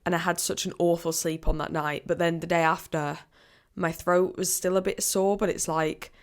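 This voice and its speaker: A woman hosts her own podcast, voice sitting low and a little croaky after being ill. She chats on her own in a loose, rambling way, full of little fillers. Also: little pitch movement, moderate pace. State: croaky from a recent illness. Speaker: podcast host. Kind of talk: solo health podcast talk.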